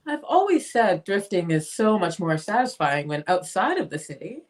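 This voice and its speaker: Casting: breezy, slightly vain sister female actor, swooping intonation, medium pitch, affectionate, play reading. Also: sing-song, normal speed, close to an everyday voice. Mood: chatty, breezy. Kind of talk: dramatic script reading.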